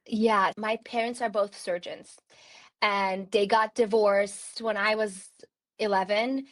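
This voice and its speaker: A woman's voice: mid-range voice, steady tone, slow pace. Chatty woman from Turkey, podcast guest. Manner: chatty, many "like" fillers, laughs often. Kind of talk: casual conversational storytelling.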